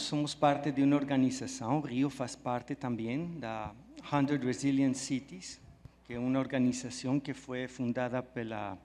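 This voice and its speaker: A man from Belgium, a native French speaker, gives a conference talk in Portuguese full of Spanish words. His voice sits at a middle pitch, warm and eager. It rings with the long reverb of a big hall. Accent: French and Spanish, speaking Portuguese mixed with Spanish. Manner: friendly, enthusiastic, mixing Spanish words into Portuguese. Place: large hall at a live event. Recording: echoey hall sound.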